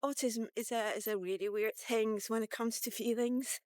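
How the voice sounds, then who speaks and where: A woman in her fifties talking candidly and reflectively in a casual, halting vlog monologue, with some swearing. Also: on the high side, fairly even intonation, darkish tone, smooth, dry recording, studio quality. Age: 50s. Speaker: vlogger.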